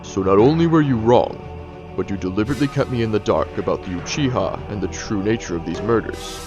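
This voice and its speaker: An actor playing a stern, measured older male village leader in a formal, deliberate way for an audio drama. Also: fairly low pitch, close to an everyday voice. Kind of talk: fan audio drama acting. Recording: dull lo-fi sound, faint music behind.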